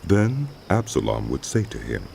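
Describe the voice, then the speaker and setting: A man with an extremely low, creaky voice narrates a dramatized scripture story. His delivery is scripted and widely varied in pitch, over noticeable background noise. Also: huge pitch swings, normal speed, dark tone.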